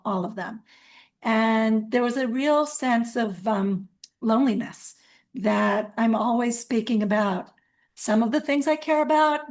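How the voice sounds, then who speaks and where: A woman's voice: medium pitch, darkish tone. A woman from Israel, speaking thoughtfully as a community organizer in a webinar, with frequent ums. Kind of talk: webinar talk. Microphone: video call.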